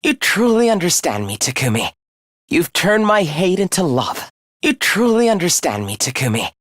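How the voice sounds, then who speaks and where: A courteous, menacing young misanthrope with swooping pitch. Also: male voice, very animated, normal speed, fairly bright tone, dry recording, studio quality, full character voice. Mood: soft, courteous. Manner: courteous, formal, theatrical, condescending. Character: polite, softly menacing young man who loathes humanity. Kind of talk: English video game voice acting.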